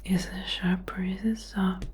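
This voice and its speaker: A woman doing a whispered ASMR medical role play as a doctor, giving soothing, slow, repetitive instructions. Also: mid-range voice, flat delivery, noisy background. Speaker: ASMR performer playing a doctor.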